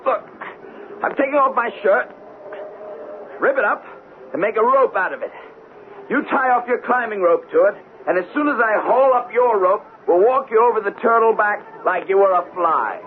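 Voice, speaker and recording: A gallant young man in a vintage radio adventure. He is excited and wisecracking in a highish voice. The broadcast sound is thin with faint noise. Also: moderate pace, narrow, tinny audio. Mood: urgent. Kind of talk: radio drama acting.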